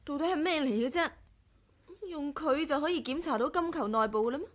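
An actress with a Hong Kong Cantonese accent playing a quick-witted, caring, direct woman in a 1980s radio drama. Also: high voice, close to an everyday voice. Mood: objecting.

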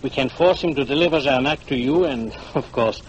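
An actor playing a greedy, scheming go-between with a formal, ingratiating manner, in a 1940s radio drama. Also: male voice, medium pitch, fairly quick, natural voice. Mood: oily, pleased. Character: oily, scheming male go-between out for money. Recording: noisy old 1940s radio recording.

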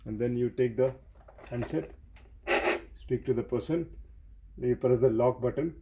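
A man's voice: medium pitch, measured pace, dark tone, lo-fi sound, slight background noise. A man giving hands-on technical training in a step-by-step, repetitive way, as a technician showing how equipment works. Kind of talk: hands-on instructional explanation.

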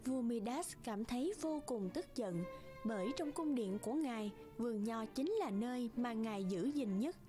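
A woman tells a cartoon fairy tale in a rather high voice. It is scripted storytelling, with music playing clearly behind her. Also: animated, moderate pace. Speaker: narrator.

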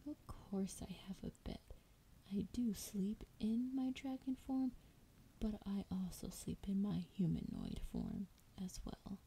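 A woman playing a lonely, ancient female dragon, tender and teasing, in a breathy, intimate whispered ASMR roleplay. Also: mid-range voice, wildly swooping pitch, mellow, exaggerated voice. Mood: tender, welcoming. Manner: slow, coaxing, affectionate. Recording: clear background noise, ambience.

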